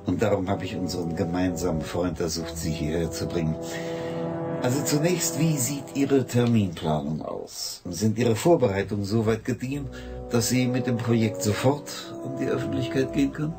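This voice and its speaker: Radio drama: male actor as elder tycoon, low pitch, formal and commanding, music underneath. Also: close to an everyday voice. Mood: businesslike. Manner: deliberate, formal, authoritative. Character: rich, businesslike elder financier, a father. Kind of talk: German radio drama acting, 1980s. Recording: music behind the voice.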